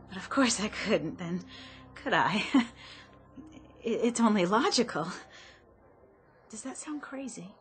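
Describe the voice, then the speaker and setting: A confused, uneasy shopper in a radio play. Her mid-pitched voice is halting and troubled, searching for what she cannot place. Faint music runs behind her. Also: animated. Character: bewildered, uneasy female customer doubting her sanity. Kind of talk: radio drama acting.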